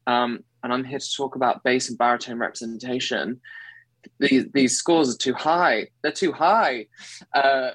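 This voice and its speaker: An earnest baritone man in his thirties, from England, speaking at an everyday pitch as an interview guest. He talks effusively and keeps correcting himself mid-sentence. The sound is dry and close, with no room around it. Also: steady tone, normal speed, fairly bright tone, breathy edge, no room sound, rough recording. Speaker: guest, actor and singer. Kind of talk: conversational interview.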